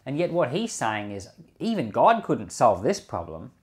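A male preacher speaks in a mid-pitched voice with lively, wide-ranging intonation. He phrases things in a measured way and leans on key words. The delivery suits scripture reading and informal devotional teaching. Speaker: Bible podcast host and preacher.

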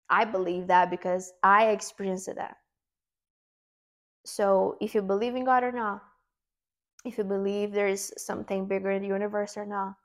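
Brazilian woman, gravelly earnest talk. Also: mid-range voice. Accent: Brazilian Portuguese. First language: Portuguese. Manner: earnest, repeats words while searching for them. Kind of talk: motivational self-help talk.

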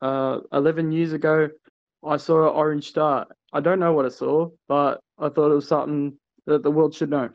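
A man's voice: fairly high pitch, fairly even intonation, normal speed, no room sound, lo-fi sound. A man from Australia, a guest telling his story hesitantly with many fillers. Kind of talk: casual call-in interview.

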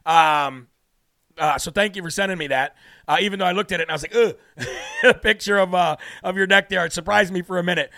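A man's voice: high voice, fairly quick, gravelly, sharp tone, no echo, clean studio sound. A man from New Hampshire hosting a live political talk show, brash and preachy, losing his voice as he reads out viewer comments.

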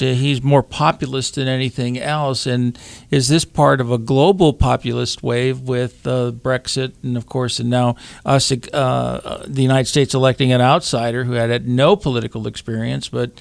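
A man with a gravelly edge to his voice, recorded close and dry. He guest-hosts a radio interview show, asking questions in a folksy, joking way and leaning on frequent uhs. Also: mid-range voice, no echo.

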